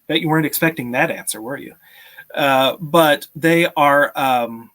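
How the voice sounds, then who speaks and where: Higher-pitched man chatting casually on stream. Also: unhurried, fairly bright tone. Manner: friendly, appreciative, chuckles often. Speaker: live stream host. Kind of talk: casual chat, answering viewer questions. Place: small room at home, indoors.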